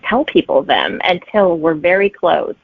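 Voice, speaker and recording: A woman's voice: on the low side, sing-song, unhurried, vocal fry, slightly rough, no echo. A woman guest chatting casually in an interview, telling anecdotes and acting out remembered remarks. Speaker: guest author. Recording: narrow, phone-like dry audio.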